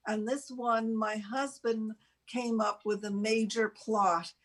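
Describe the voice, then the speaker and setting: An older woman co-hosting a casual, unscripted show, speaking haltingly with pauses mid-sentence. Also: a little high, steady tone. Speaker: show co-host.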